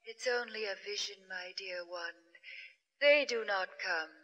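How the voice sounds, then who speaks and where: An actress playing a soldier's tender, devoted wife as an imagined apparition, in theatrical, lyrical 1950s radio style. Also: female voice, medium pitch, unhurried, bright, forceful tone, muffled recording. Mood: wistful. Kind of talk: 1950s radio drama acting. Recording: reverberant 1950s radio broadcast, faint music.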